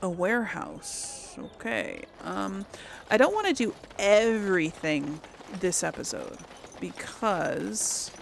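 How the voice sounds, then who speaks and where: A woman's voice: lowish voice, huge pitch swings, takes it slowly, gravelly, a little hiss or hum. A woman giving casual let's play commentary, musing aloud and rambling to her viewers. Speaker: gaming video host.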